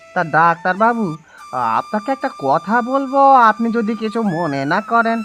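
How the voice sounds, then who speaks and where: A man voices a poor village husband in a cartoon, with very lively swings of pitch and a bright, sharp tone. He is weary and wheedling, quick to get riled, and music plays behind him. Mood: pleading. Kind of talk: Bengali cartoon voice acting.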